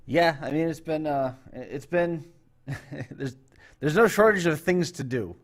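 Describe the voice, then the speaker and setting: A man hosting a talk show in casual chat, telling stories in an unhurried, rambling way. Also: on the high side.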